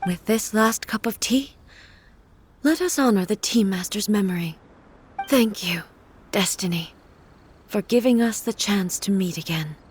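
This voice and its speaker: A woman voicing a solemn, composed female ruler of divine rank in a video game, speaking in a formal, measured, soft and hushed way. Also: mid-range voice, slight background noise, close to an everyday voice. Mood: reverent.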